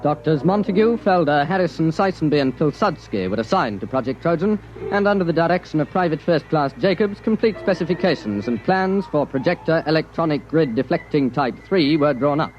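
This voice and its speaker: An actor playing a matter-of-fact narrator reading an official report in 1950s radio drama style. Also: male voice, a little high, animated, fairly quick, a little hiss or hum, close to an everyday voice. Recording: muffled old radio recording over loud music.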